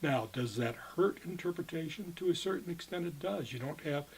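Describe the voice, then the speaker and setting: An older man with a husky, slightly rough voice looks back on his years as a cave guide in an oral history interview. He rambles and corrects himself as he goes. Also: on the high side, animated. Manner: rambling, halting, self-correcting.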